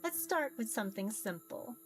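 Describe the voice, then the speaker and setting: A woman voicing a mysterious ancient being in a visual novel, formal, measured, amused and curious about humans. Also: a little high, animated, music in the background, lightly acted. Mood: amused, probing. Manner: formal, measured, archaic phrasing. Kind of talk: visual novel character read-aloud.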